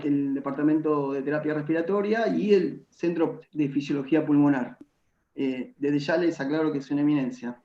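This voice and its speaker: A man's voice: fairly high pitch, creaky, warm tone. A man moderating a virtual seminar in an informal way over echoey, muffled call audio.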